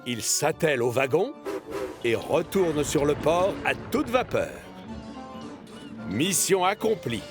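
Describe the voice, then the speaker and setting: A man narrating a children's cartoon in a lively, expressive storytelling manner. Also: fairly high pitch, slow pace, pauses often. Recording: narration over music.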